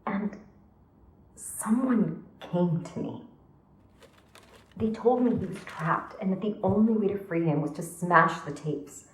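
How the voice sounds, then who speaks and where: An actress playing a guilt-ridden, grieving woman in a horror game, speaking hesitantly and earnestly in halting phrases. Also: medium pitch, reverberant, close to an everyday voice, low-quality recording. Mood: guilty, sorrowful. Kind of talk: dramatic horror game voice acting.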